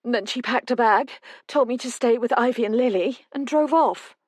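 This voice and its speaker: Brief: woman doing a scared, weepy older mother who can't stop talking, pitched up, dry booth sound. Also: dry recording, natural voice. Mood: distraught. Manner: tremulous, rushed outpouring. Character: older mother, frightened and tearful.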